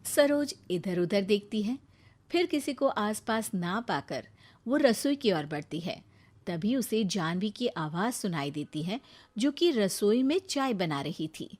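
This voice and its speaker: A woman's voice: mid-range voice, expressive, unhurried, a little mellow. A woman reading scripted narration for a Hindi moral story as its storyteller.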